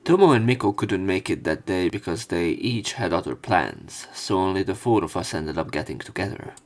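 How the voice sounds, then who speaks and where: A man's voice: low-pitched, big pitch swings, normal speed. A man reading a visual novel's text aloud as a let's play narrator, putting emphasis into the spoken dialogue.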